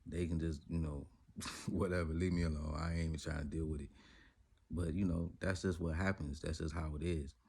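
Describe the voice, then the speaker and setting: Laid-back gravelly deep-voiced man in his forties. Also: steady tone, brisk, mellow. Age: 40s. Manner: laid-back, rambling, laughs often, slangy. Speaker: vlogger, father. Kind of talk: casual vlog talk to camera.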